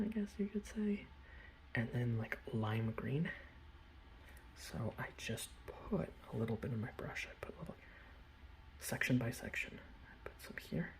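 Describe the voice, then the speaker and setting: A man softly whispering in a casual, rambling ASMR style, breathy and close to the microphone, showing off a hobby. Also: mid-range voice, poor audio. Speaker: ASMR video host. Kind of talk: ASMR, informal hobby show-and-tell.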